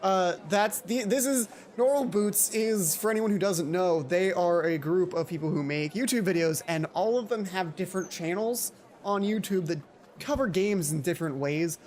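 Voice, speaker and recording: An excitable, informal video game commentator who often breaks off and interrupts their own thoughts while narrating a playthrough. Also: mid-range voice, normal speed. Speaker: let's play host. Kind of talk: game commentary and read-aloud of a visual novel.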